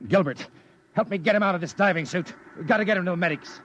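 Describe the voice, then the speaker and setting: A man's voice: high voice, quick pace, lightly acted. An actor playing a brusque military colonel in a 1950s radio drama, clipped and commanding as he gives orders. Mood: gruff, skeptical. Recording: dull old radio recording, loud noise.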